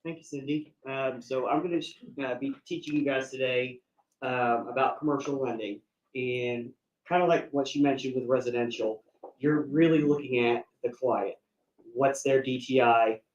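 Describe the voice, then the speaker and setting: A man of middle pitch lectures on lending at a seminar. He explains terms step by step in a plain tone, with frequent ums and uhs. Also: steady tone, normal speed, muffled recording, slight background noise. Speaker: bank commercial lender, guest speaker. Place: seminar room.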